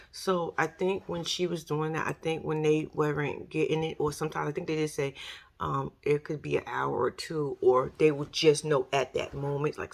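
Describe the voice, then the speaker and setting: Raspy new york lady vlogging, low gravelly voice, chatty and slangy. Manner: chatty, animated, slangy, acts out quotes. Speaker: YouTube commentary host. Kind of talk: casual commentary vlog.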